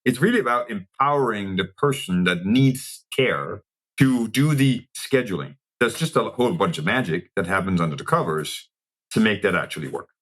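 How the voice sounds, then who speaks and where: A man with a very low voice and a Danish accent. He talks as an interview guest, explaining in a measured way and posing questions to himself as he goes. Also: animated, fairly bright tone. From Denmark. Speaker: interview guest, technology executive.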